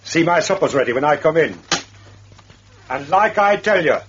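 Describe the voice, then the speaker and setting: A man's voice: a little high, expressive, normal speed, a bit bright, a little hiss or hum. A man playing a jealous, menacing farmer with a rural English accent, blunt and clipped, in a radio drama. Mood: threatening. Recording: muffled old radio recording.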